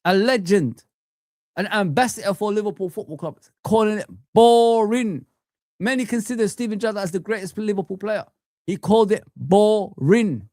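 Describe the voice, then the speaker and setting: A man's voice: sits high, normal speed, a little mellow, no room sound, studio quality. Ranting fan stream host, a man. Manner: heated, ranting, repetitive. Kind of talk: live fan chat stream.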